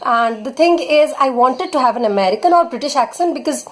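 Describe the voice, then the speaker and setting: A woman's voice: sits high, animated, brisk. A young Indian woman with an Indian accent, an English tutor giving earnest spoken tips in a vlog lesson. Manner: earnest, advising, leans on fillers like "basically" and "honestly".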